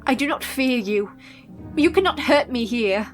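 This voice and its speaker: An actress playing a brave, entranced Victorian wife under a curse in a radio play, speaking in a formal, measured way. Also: female voice, fairly high pitch, lively intonation, faint music behind. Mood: defiant.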